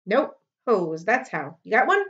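A woman voicing a bossy, blunt young girl who scolds loudly, in a dull webcam recording. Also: medium pitch, very animated, unhurried.

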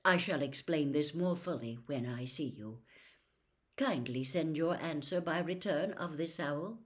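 An older woman reading a novel aloud at home, expressive, turning louder and more declaiming for quoted passages. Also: deep voice, a little slow. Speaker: storyteller. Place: small home room with bookshelves.

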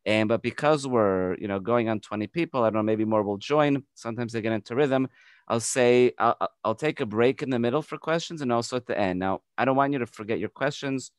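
Fast-talking American man on a headset. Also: medium pitch, clear, resonant tone, dry recording, studio quality. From United States. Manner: fast, energetic, repeats words when restarting. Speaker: tour guide. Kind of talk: virtual guided tour over video call. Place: home by an open window. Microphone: headset on video call.

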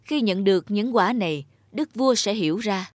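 A woman's voice: mid-range voice, animated, normal speed, no room sound, studio quality, lightly acted. A woman voicing a humble, hopeful young husband and father, earnest and respectful, in a cartoon fairy tale.

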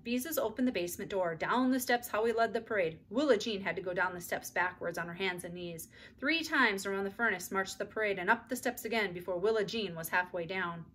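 A woman's voice: mid-range voice, a bit bright, a little hiss or hum. A middle-aged woman narrating a children's book expressively, reading aloud indoors at home. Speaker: read-aloud storyteller.

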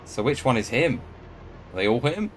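Excitable young man reacting. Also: mid-range voice, normal speed, a little hiss or hum. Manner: excitable, swears, shouts at the screen. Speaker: reaction video host. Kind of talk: casual reaction commentary. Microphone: headset.

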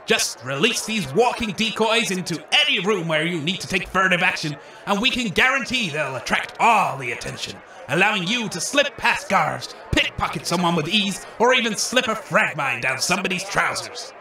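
A man's voice: high-pitched, big pitch swings, normal speed, hardly any pauses, bright, forceful tone, full character voice. A man acting as a brash wasteland weapons salesman, theatrical and grandiose, in a comedy radio drama. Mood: salesmanlike. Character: brash male wasteland arms salesman showman. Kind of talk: comedy radio drama, stage announcer patter. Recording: echoey, slightly distant from the mic.

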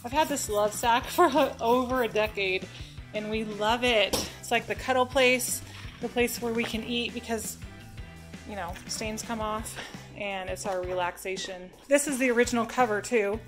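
A woman's voice: on the high side, moderate pace. A woman hosting a cleaning vlog, talking casually and informally through a product test. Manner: informal, chatty. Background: music.

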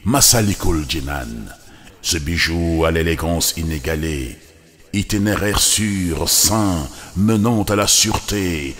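A man with a Senegalese accent solemnly reciting devotional poetry in measured, reverent, declamatory short phrases. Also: sits low, a bit bright.

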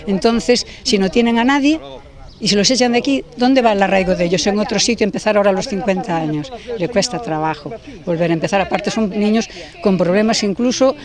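An older woman speaking as a neighbor in an outdoor interview, explaining earnestly and deliberately into a handheld mic. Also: medium pitch. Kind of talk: street interview. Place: outdoors in a garden. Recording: outdoor interview with crowd behind.

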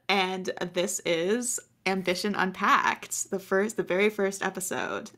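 An American woman in her twenties with a low voice, co-hosting a podcast in casual, rambling chat. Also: animated, takes it slowly, fairly bright tone. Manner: chatty, laughing often, rambling. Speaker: podcast co-host.

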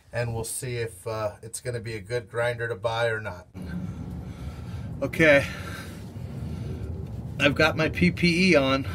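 A man in his thirties casually reviewing a power tool in his shop, relaxed and full of fillers. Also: medium pitch, noisy background. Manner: relaxed, filler-heavy, practical shop talk. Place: under a lowered truck, then inside an auto shop.

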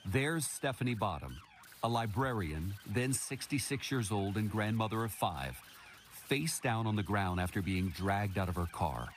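A man's voice: fairly low pitch, expressive, moderate pace. A middle-aged man delivering a broadcast news report as a television correspondent. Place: TV news studio. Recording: noisy replayed news broadcast.

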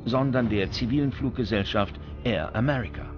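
Guy doing scripted doc narration, medium pitch, music playing behind him. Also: animated, moderate pace. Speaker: documentary narrator. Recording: dull recording with music bed. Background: background music.

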